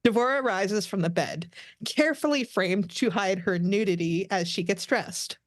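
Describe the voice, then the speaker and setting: A woman's voice: medium pitch, moderate pace, dry recording. A woman narrating the stage directions of a script as scripted narration.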